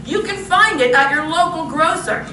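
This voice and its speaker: Woman doing chirpy old time radio ad lady, high voice, far from mic in a roomy space. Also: expressive, moderate pace, bright, forceful tone, full character voice. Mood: upbeat selling. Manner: chirpy, salesy, emphatic announcing. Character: cheery female old-time radio candy pitchwoman. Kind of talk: 1940s radio commercial acting. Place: live performance in a room. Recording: roomy stage recording, distant mic, faint noise.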